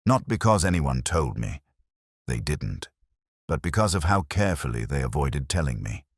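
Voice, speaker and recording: A man reading a scripted first-person detective story in a measured, literary, brooding manner. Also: extremely low pitch, very animated, breathy edge, dry recording, studio quality. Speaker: narrator.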